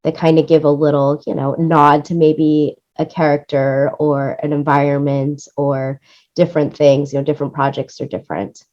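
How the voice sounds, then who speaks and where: A woman's voice: deep voice, little pitch movement, normal speed, a little mellow, dull audio. A woman being interviewed about her work in production, talking in an enthusiastic, rambling way. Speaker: guest, music supervisor and producer.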